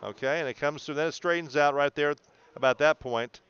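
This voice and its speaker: A man's voice: on the high side, brisk, fairly bright tone, highs cut off, slight background noise. A man coaching a student through video analysis in an encouraging, explanatory way, often checking in. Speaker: bowling coach.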